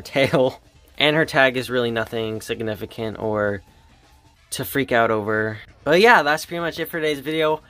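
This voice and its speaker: A man's voice: mid-range voice, fairly bright tone, dry recording. A teenage boy talking casually and offhandedly while reviewing toys, his hands handling them on a table. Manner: chatty, offhand. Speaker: toy reviewer. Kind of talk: casual toy review vlog.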